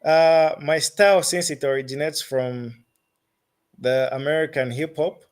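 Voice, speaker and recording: A man with a Zambian accent answering interview questions as a musician, phrasing his thoughts deliberately and haltingly. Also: fairly high pitch, fairly even intonation, clear, resonant tone.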